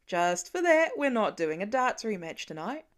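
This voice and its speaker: A woman's voice: mid-range voice, very animated, moderate pace, clear, resonant tone, natural voice. A woman voicing a teasing, competitive mother with a dry, playful manner in a story reading. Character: teasing, deadpan mother who loves to win.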